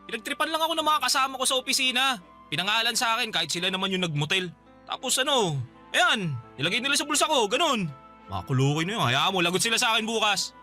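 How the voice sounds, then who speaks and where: A man's voice: very high-pitched, very animated, quick pace, sharp tone, soft background music, full character voice. A man playing a defensive, callous young boyfriend in a Tagalog radio drama, irritable and dismissive. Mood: evasive, irritated.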